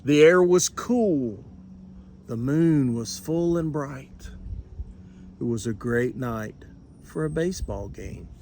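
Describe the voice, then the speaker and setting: An older man reading a children's storybook aloud with expressive, deliberate phrasing. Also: mid-range voice, a little hiss or hum. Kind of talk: animated children's storybook narration.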